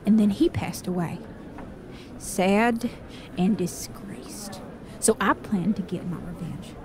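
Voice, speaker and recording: A woman's voice: medium pitch, slow pace, close to an everyday voice. A woman playing a resentful, evasive outlaw forger in a western audio drama, theatrical in delivery, with background noise. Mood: bitter. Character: resentful, shifty Wild West outlaw forger.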